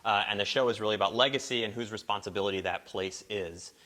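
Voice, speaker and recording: A man with a very low voice and a bright, pressed tone talks casually to a small gathering. He explains the work being presented thoughtfully, pausing and feeling his way through it. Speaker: performer introducing an audio play. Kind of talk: casual spoken introduction to a live performance. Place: barn, small live gathering.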